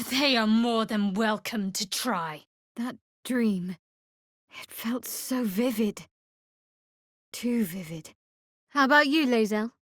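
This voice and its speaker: A woman playing a guarded, sardonic young cleric of a dark goddess in a video game, with a dry, wry delivery. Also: fairly high pitch, no room sound, natural voice. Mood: hesitant, tender, then teasing. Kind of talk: dramatic video game voice acting. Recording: very dry close studio recording.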